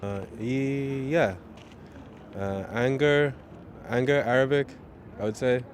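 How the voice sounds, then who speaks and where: A man's voice: mid-range voice, slow pace, slight background noise. A man giving short, wry, offhand interview answers.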